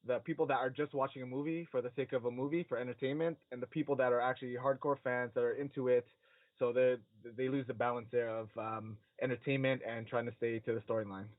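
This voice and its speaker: A man with a medium-pitched voice chats casually on a podcast. His delivery is hesitant and halting as he works out his opinion. The recording is narrow and phone-like, with no room sound. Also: steady tone, dry recording. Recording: narrow phone-like band, dry.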